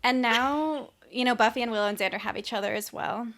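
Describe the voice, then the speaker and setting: A woman's voice: fairly high pitch, a little fast, a bit bright, a little hiss or hum. A woman co-hosting a podcast, telling stories and recapping television in a casual, conversational way. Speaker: podcast co-host.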